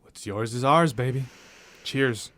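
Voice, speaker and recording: A man's voice: mid-range voice, a little slow, a bit bright, lightly acted. An actor playing a brash, insistent male newspaper reporter who swears freely in an audio drama.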